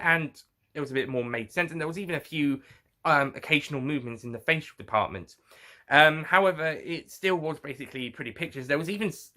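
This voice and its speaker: Male reviewer, very bright forward voice, mid pitch; off-the-cuff commentary, halting, circles back and self-corrects. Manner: halting, rambling, often repeats and corrects himself. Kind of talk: casual unscripted review commentary.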